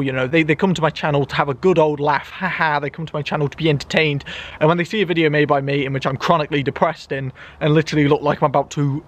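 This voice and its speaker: A young man with a fairly high voice talks loudly and chattily to camera, poking fun at himself as he goes. He is recording outdoors in an enclosed garden at night. His voice carries a slight echo off the surrounding trees. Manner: loud and chatty, self-mocking. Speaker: YouTuber. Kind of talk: casual vlog monologue.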